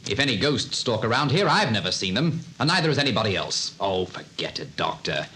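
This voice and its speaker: An actor playing a breezy young newlywed aristocrat who dismisses talk of a curse, in old-time radio drama style. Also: male voice, lowish voice, very animated, bright, forceful tone. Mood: scoffing. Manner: dismissive, brisk upper-class tone. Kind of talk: 1930s radio acting. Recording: old radio broadcast with faint hiss.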